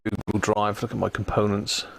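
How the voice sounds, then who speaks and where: Matey, gravelly-voiced man chatting on a livestream. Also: on the low side, completely flat delivery, normal speed, noisy background. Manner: matey, chatty, muttering to himself as he works. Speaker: livestream host and electronics hobbyist. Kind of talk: casual live chat while working at a bench.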